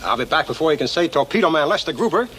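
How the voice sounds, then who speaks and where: An actor with an American accent playing a brash, flirtatious, wisecracking navy sailor with slangy patter in a sitcom. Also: male voice, on the high side, very animated, racing, heavy background noise. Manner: smooth-talking, slangy. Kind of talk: sitcom acting.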